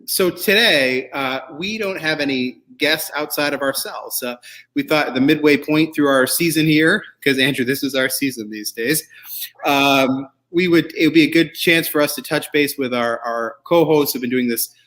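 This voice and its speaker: A man's voice: on the high side, normal speed, fairly bright tone. A man hosting a show in a casual, chatty way, rambling and joking as he goes. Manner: rambling, jokey. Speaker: show host.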